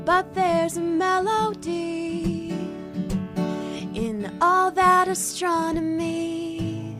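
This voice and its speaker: A woman voicing a playful, cheerful little space cowgirl, singing a children's theme song in a drawn-out singsong. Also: extremely high pitch, level tone, loud music behind the voice, exaggerated voice.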